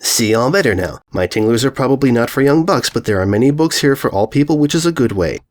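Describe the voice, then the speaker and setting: A man's voice: mid-range voice, lively intonation, fast, close to an everyday voice. A man voicing an earnest, eccentric, relentlessly positive male author with guileless, oddly phrased rambling in a story reading. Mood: apologetic, friendly. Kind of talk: fan fiction story reading.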